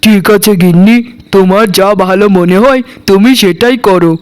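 Guy doing a meek sad husband in a cartoon, really high voice for a man, plaintive and preachy. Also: normal speed, clear, resonant tone, lightly acted. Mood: resigned, reproachful. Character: meek, injured husband, honest, reproachful and moralizing. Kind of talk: Bengali cartoon voice acting.

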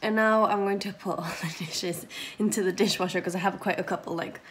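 A woman in her twenties chatting casually to her phone in a small bedroom, full of "like" and little giggles, her voice a bit croaky. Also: medium pitch, fairly even intonation, brisk, slight background noise. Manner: chatty, lots of "like", giggly asides. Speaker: vlogger. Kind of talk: casual vlog chat. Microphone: phone held at arm's length.

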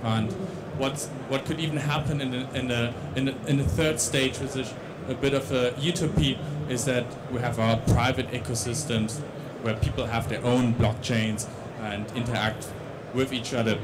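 A man's voice: mid-range voice, fairly even intonation, normal speed, few pauses, very noisy. A young man with a Dutch accent giving a tech conference talk on a large stage into a handheld mic, with many restarts and fillers. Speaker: conference speaker.

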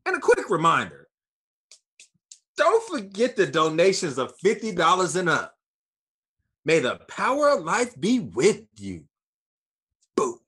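An actor playing a pompous self-styled guru professor who lectures grandly and haltingly in a comic monologue, angling for donations. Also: medium pitch, wildly swooping pitch, a bit bright, husky, full character voice. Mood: self-satisfied.

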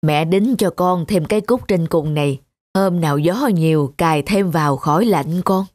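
An actress voicing a gentle, frugal elderly country mother in an animated drama, homely and unhurried in manner. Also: fairly low pitch, no room sound, studio quality, faint music behind, close to an everyday voice. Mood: caring.

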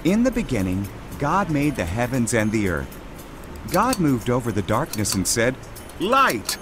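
A man narrating a children's Bible storybook in an expressive, scripted storytelling manner. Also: medium pitch, a little slow. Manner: expressive storytelling with emphatic short exclamations. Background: music.

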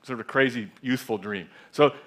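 A man in his sixties telling a measured, reflective story in a talk on a large theater stage, with a headset mic. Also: medium pitch, a bit bright. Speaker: speaker. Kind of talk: conference talk.

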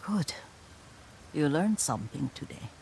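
A woman playing a frail, wise old caretaker in a video game, speaking gently in a breathy voice that often fades toward a whisper. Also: low-pitched, sing-song, unhurried, noisy background, heavily acted. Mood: wise, reflective. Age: older. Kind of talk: video game cutscene acting. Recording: music behind the voice.

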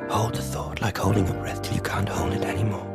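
A man's voice: fairly low pitch, fairly even intonation, brisk, lightly acted. A man in a radio drama playing a commanding, mystical mentor who gives urgent instructions like an incantation. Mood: hypnotic urging. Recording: radio drama under loud music.

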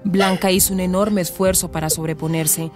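A woman's voice: lowish voice, fairly even intonation, moderate pace, music in the background. A woman giving scripted narration for a radio drama.

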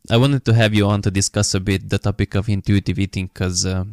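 Podcast host, man, low, even, smooth voice, dry close mic. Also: no room sound. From Hungary. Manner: measured, with frequent "um" and "uh" fillers. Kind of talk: podcast interview.

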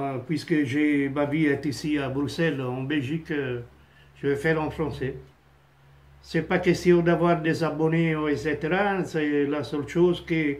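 Italian-accented man rambling slowly. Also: a little high. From Italy. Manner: halting, many fillers and restarts. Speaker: vlogger. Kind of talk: casual rambling monologue to camera. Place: small home office indoors. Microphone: phone camera on a stand at desk.

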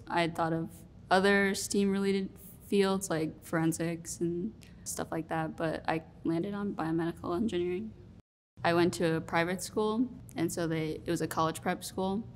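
A young woman in her twenties sits for an interview, her voice fairly low. She talks in a relaxed, reflective way and often pauses on fillers. A faint rumble runs under the recording. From Rhode Island, US. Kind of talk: sit-down interview. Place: studio room with plants.

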